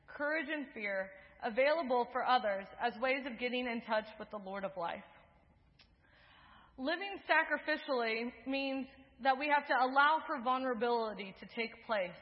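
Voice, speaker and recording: A young woman preaching a sermon in an earnest, measured way, moving through lists in a steady rhythm, then leading the congregation in prayer. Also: a little high. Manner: earnest, measured, with list-like cadences. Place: church sanctuary. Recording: dull sound, echoing in a large hall.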